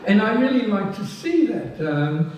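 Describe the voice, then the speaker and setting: An older man with a slightly high voice gives a slow, halting speech full of ums and restarts. He sounds a little distant from the mic. The hall around him is large and very echoey. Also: big pitch swings, darkish tone. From France. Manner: halting and self-deprecating, many ums and restarts. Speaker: past president honoured at a society oration. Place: large hall at a public event. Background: audience laughing.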